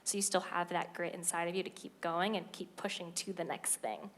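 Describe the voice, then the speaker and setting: A woman's voice: fairly low pitch, brisk, slight background noise. A woman in her twenties, a Broadway cast member speaking on a panel talkback, chatty and full of fillers. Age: 20s. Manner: chatty, filler-heavy, animated.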